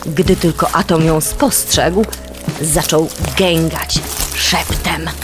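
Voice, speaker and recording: A woman narrating a children's story in a deliberate, suspenseful way. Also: sits low, steady tone, over music.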